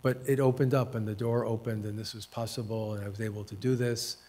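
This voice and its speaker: Older man reflecting on his life, unhurried. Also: lowish voice, steady tone, a little mellow. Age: 70s. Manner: unhurried, self-deprecating, with dry humor; hesitant fillers and restarts. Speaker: author and professor. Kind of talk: reflective personal storytelling at a panel talk.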